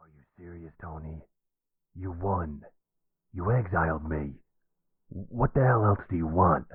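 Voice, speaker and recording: Male actor as gentle, protective older mobster, extremely low husky voice, intimate roleplay audio. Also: unhurried, no echo, phone-quality sound. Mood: bitter. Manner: tender, reassuring. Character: devoted older mafia man, gentle and protective. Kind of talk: boyfriend roleplay ASMR.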